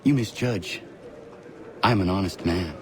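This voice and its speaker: An actor playing a stern, earnest young assassin in a video game, speaking in terse, formal sentences without contractions. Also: male voice, sits low, a little slow, a crowd in the background. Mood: guarded. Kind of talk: video game cutscene acting.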